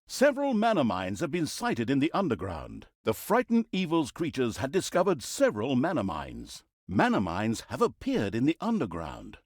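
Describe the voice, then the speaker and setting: Sardonic male storybook narrator, pompous, theatrical, extremely animated intonation, easily exasperated, video game voice-over, dry studio sound. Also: medium pitch, no echo.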